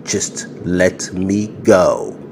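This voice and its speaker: A cornered gang boss acted by a man pleads his case in a put-on American accent. His voice is low and aggrieved, in comedy skit style, over faint noise. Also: fairly even intonation, very slow, a little mellow. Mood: begging. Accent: affected American.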